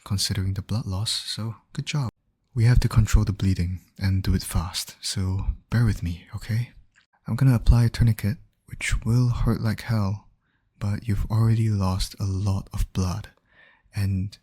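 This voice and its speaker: A man acting as a calm, caring medic in an ASMR roleplay, gently reassuring a patient and explaining each step. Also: fairly low pitch, husky. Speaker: voice actor in roleplay audio.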